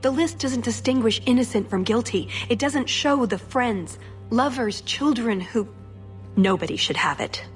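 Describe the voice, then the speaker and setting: A woman's voice: fairly high pitch, animated, unhurried. A woman playing an earnest, wry young elf agent in a dramatic video game scene. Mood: solemn, heartfelt. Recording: music behind the voice.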